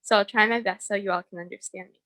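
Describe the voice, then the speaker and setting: A woman's voice: mid-range voice, steady tone, fast talker, bright, forceful tone, dry recording. A young woman delivering a prepared, personal eulogy as a bereaved daughter.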